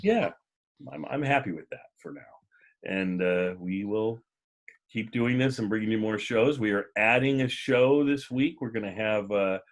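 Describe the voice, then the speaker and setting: A man hosting a casual podcast, chatting in a rambling, jokey way with a husky voice. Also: lowish voice, moderate pace. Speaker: podcast host.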